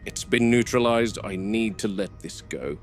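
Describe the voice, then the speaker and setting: A man playing a wary, probing game warden in a found-footage horror film, speaking in a measured, plain-spoken way. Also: fairly low pitch, over music, lightly acted. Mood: casual.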